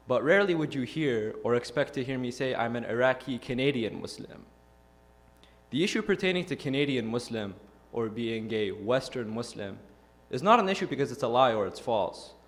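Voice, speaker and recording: A young man from Iraq delivers a prepared talk at a middle pitch. He speaks in an earnest, measured way and poses rhetorical questions to his listeners. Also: animated. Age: young adult. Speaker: youth speaker. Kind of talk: prepared speech.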